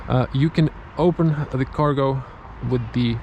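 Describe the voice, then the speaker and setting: A man's voice: mid-range voice, measured pace, a little mellow, highs cut off. A man with a Croatian accent, a native Croatian speaker, gives casual car review commentary in English as a second language, sometimes searching for words. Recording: clear vehicle and ambient noise. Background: car and ambient noise.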